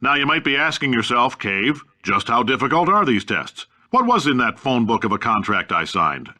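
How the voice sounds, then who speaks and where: A man's voice: medium pitch, big pitch swings, moderate pace, bright, forceful tone, heavily acted. A man playing a brash, blustering middle-aged American science tycoon in a video game, delivering announcements with folksy, cocky, blunt salesman's patter. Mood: brash, dismissive.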